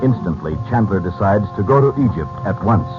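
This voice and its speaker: A man's voice: on the low side, lively intonation, fairly quick, close to an everyday voice. A man with an American accent giving formal, dramatic scripted narration as a 1940s radio announcer. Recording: muffled 1940s radio recording with music.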